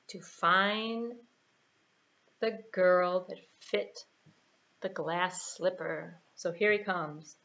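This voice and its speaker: Woman, puppet-show storytelling for children, unhurried, animated intonation, muffled indoor recording. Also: lowish voice, creaky. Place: small plain room, indoors.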